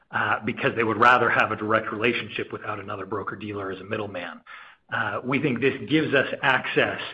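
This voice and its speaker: A man, a company executive, explaining a point on an earnings call in a measured, orderly way. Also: medium pitch, dull audio.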